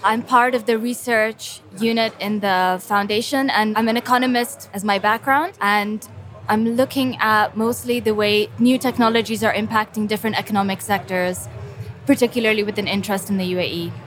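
A woman economist, a guest on a live panel, introducing herself in a measured, professional way. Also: medium pitch, fairly even intonation, fairly bright tone.

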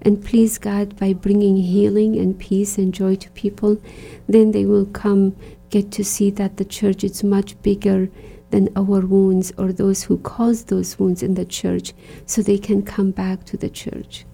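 Iraqi-born religious sister, woman, dark gravelly tone, earnest interview guest. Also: medium pitch, level tone, a little slow. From Iraq. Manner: reflective, earnest, many fillers like "you know". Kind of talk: conversational interview.

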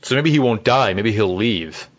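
A man's voice: lowish voice, animated, normal speed, slight background noise. A man co-hosting a podcast, talking casually and earnestly as a fan and acting out bits of imagined dialogue. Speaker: podcast co-host. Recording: slightly dull, lo-fi audio.

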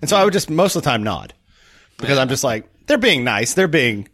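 Male host, slight Southern US accent, average pitch, conversational podcast delivery. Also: sing-song, fairly quick, fairly bright tone. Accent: mild American Southern. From Tennessee, USA. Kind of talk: casual chat.

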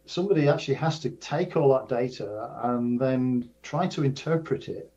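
An older man, a professor of astrophysics, explaining research in a measured way, often restarting and repeating his words. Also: medium pitch, warm tone. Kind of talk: casual explanatory interview. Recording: dull lo-fi sound.

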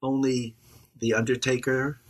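Husky-voiced priest co-hosting a podcast. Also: male voice, mid-range voice, flat delivery, unhurried. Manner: warm, reflective, pastoral. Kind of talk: casual conversation.

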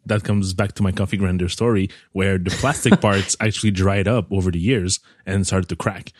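A man's voice: low-pitched, steady tone, normal speed, no room sound, clean studio sound. A man who reviews tech gadgets, telling stories on a podcast in an exasperated way. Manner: exasperated, storytelling. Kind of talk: casual tech chat.

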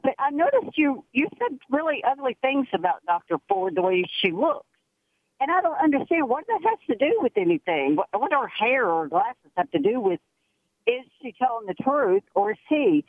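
A woman's voice: a little high, sing-song, no echo. Older woman caller on a tinny phone line. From Tennessee. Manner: earnest, chatty, halting with many restarts. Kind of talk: phone-in call. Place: in her car.

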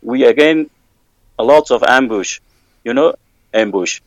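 A man with an Afghan accent, a guest in an interview, answering slowly and haltingly as he searches for English words. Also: medium pitch, no room sound, dull audio. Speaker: security worker, guest. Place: Kabul.

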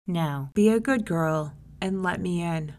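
A woman's voice: fairly low pitch, measured pace, darkish tone. A woman doing a sly old tigress in disguise. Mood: coaxing.

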